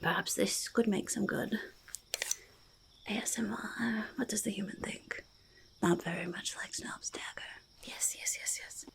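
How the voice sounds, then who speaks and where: A woman voicing a quirky, eager little goblin in a soft-spoken ASMR roleplay, creaky and whispering, with halting, repetitive speech. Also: medium pitch, steady tone. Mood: curious, tentative. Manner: halting, repetitive, third-person speech with drawn-out words. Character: quirky, eager, faintly menacing little goblin, friendly to humans. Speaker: ASMR performer in character.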